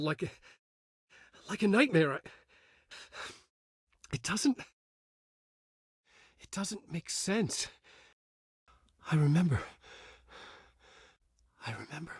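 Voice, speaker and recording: An actor playing a haunted, brooding writer trapped in a nightmare, in an intense, dramatic horror game delivery with a husky edge. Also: male voice, fairly high pitch, dry recording, studio quality, full character voice. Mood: urgent, confused. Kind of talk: psychological horror game dialogue and brooding narration.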